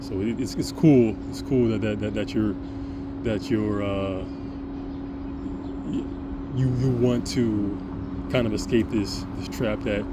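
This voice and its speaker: A man with a deep voice speaks in an earnest, rambling vlog monologue. He restarts sentences and stammers often. Loud traffic and air-conditioning noise hum behind him throughout. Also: fairly even intonation, a little slow, long pauses. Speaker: vlogger. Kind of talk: casual monologue to camera.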